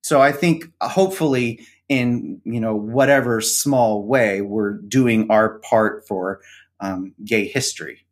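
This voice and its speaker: A man's voice: fairly low pitch, slow. A man appearing as a guest on a podcast, speaking thoughtfully with some hesitation. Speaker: podcast guest, co-host of another show. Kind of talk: conversational interview.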